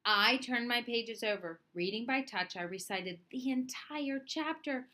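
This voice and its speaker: A woman reading a children's story aloud in an expressive narrating style. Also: fairly high pitch, a little slow, slight background noise. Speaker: storybook reader.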